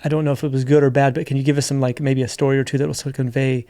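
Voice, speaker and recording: A man's voice: mid-range voice, little pitch movement, rapid-fire, dark tone. A man co-hosting a podcast, talking conversationally in an earnest, explanatory manner. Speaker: podcast co-host.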